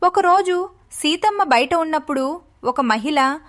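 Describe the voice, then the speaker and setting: A woman's voice: sits high, expressive, measured pace, a bit bright. A woman narrating a scripted moral story for children.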